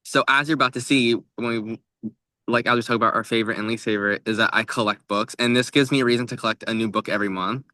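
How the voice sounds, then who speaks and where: A young man with a bright, forward voice at a middling pitch co-hosts a podcast. He talks casually and jokingly, rambling with plenty of fillers. The recording is dry. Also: fairly even intonation, quick pace, dry recording. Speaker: podcast co-host. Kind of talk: casual chat.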